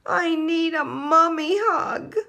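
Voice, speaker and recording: A woman voicing a whiny little brother in a children's picture book, exclaiming in drawn-out, repeated yelps. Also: very high-pitched, fairly even intonation, gravelly, pushed and bright, voice pushed up, heavily acted. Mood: tearful, needy. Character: whiny, squealing little brother, a small boy.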